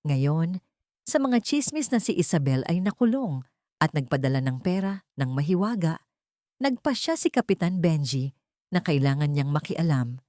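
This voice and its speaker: A woman's voice: low-pitched, expressive, moderate pace, warm tone, dry recording, clean studio sound. A woman reading a scripted dramatic story as its narrator.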